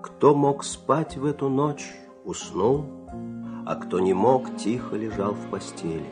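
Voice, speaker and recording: A man narrating a fairy tale in a measured, expressive, theatrical storytelling style. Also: lowish voice. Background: music.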